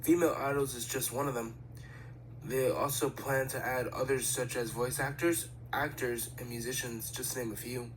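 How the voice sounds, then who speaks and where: A man's voice: mid-range voice, steady tone, moderate pace, a little hiss or hum, natural voice, low-quality recording. Man voicing a serious, pedantic schoolboy idol. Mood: explanatory. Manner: formal, pedantic, matter-of-fact. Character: serious, studious, fussy teenage boy idol. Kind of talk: amateur anime fandub acting.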